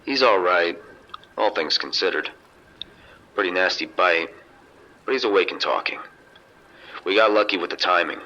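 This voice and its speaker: A man playing a seasoned, unrattled casino security chief giving measured, detailed reports over a two-way radio in an audio drama. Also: low voice, pushed and bright. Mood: grave, composed. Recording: dull, noisy two-way radio sound.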